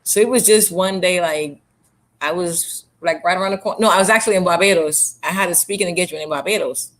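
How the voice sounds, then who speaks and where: A woman's voice: on the low side, fast talker, fairly bright tone, low-quality recording. A woman from Trinidad with a Trinidadian accent, an author and motivational speaker talking candidly in an interview, blunt and emphatic, repeating phrases for emphasis. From Trinidad and Tobago.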